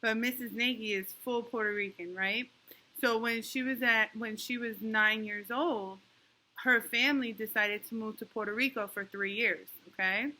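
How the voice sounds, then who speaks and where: A woman teaching a young class online and checking often that the children follow, speaking slowly and patiently. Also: fairly high pitch, steady tone, pushed and bright, poor audio. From New York. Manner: patient, encouraging, talks slowly to kids with many "okay?" checks. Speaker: elementary school teacher.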